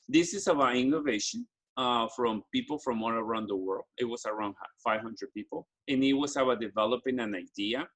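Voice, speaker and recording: Earnest Ecuadorian-born man, halting delivery. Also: medium pitch, a bit bright. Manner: halting, frequent restarts and fillers, earnest. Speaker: business school program staff presenting study abroad. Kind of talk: presentation to students with slides.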